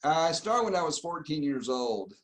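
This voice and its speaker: A man's voice: fairly high pitch, big pitch swings, normal speed, a bit bright. A middle-aged man from Argentina, a surgeon being interviewed, explaining things in an easygoing, conversational way. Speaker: plastic surgeon, guest. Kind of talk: casual interview.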